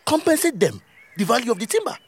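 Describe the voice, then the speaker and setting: A stubborn Nigerian village leader in an audio drama. His voice is high and swings widely as he mocks and argues, throwing out sarcastic questions. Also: sing-song, quick pace, breathy edge, exaggerated voice. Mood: scornful, challenging. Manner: mocking, argumentative, rhetorical questions.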